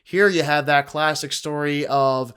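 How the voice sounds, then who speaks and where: A man's voice: fairly high pitch, steady tone, unhurried, fairly bright tone, smooth. A young man giving conversational movie review commentary as a video host, opinionated with mildly sarcastic asides.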